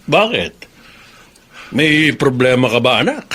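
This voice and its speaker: A man's voice: mid-range voice, very animated, slow pace, pushed and bright, slightly rough, full character voice. A Filipino man acting in a radio drama as a caring, probing middle-aged father who coaxes and teases his grown son. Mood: concerned, probing.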